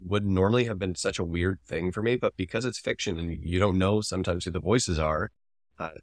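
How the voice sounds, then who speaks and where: Deep voiced guy co-hosting a podcast, talking through his take, dry recording. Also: a little fast, no room sound. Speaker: podcast co-host. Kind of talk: casual analytical discussion.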